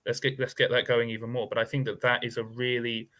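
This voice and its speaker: A man's voice: medium pitch, little pitch movement, fast, fairly bright tone. A man speaking as a guest expert on a discussion panel, thoughtful and hedging, with many fillers and restarts.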